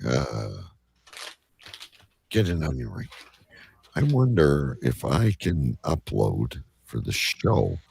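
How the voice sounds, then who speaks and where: Gravelly deep-voiced man hosting casually. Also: big pitch swings, takes it slowly, long pauses, darkish tone. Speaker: host of a live online show. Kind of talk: casual live-show banter.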